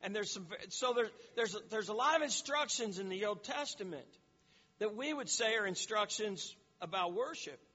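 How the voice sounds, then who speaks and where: Guy preaching in a big echoey hall, kinda muffled, high voice, keeps restarting words. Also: lively intonation, normal speed, sharp tone. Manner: explanatory, frequent repeated words and restarts. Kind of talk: sermon teaching.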